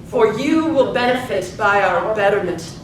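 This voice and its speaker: A woman's voice: lively intonation, measured pace, a crowd in the background, lightly acted. A woman playing an earnest, eager newspaper reporter in a live stage play, recorded in an echoey room. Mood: declaiming. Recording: echoey noisy room, distant mic, low rumble.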